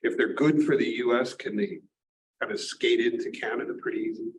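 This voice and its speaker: A man moderating a seminar, asking questions in a lively, joking way. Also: mid-range voice, brisk, background noise.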